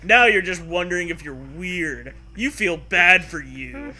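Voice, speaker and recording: Bright, forceful man reading unhurriedly. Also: sits high, expressive, a little slow. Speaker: podcast co-host. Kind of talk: reading a gamebook aloud with joking commentary. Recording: faint background noise.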